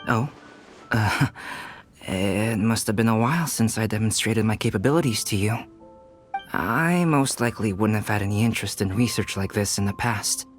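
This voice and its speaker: An actor playing a polite, scholarly young alchemist in a video game, speaking in a measured, formal, understated way. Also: male voice, lowish voice, lightly acted. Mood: composed, helpful. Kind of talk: video game cutscene acting. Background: music.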